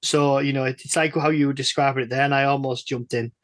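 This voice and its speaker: A man's voice: mid-range voice, fairly even intonation, quick pace, a bit bright, no room sound. A man with a British accent interviewing casually, wordy and tentative, repeating words as he builds his questions. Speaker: podcast interviewer, stand-in host.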